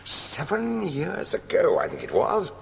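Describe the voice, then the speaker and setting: An actor playing a suave, menacing sorcerer villain in an audio drama, theatrical and mockingly polite with drawn-out threats. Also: male voice, high-pitched, wildly swooping pitch, creaky, a little hiss or hum, full character voice. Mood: quietly menacing.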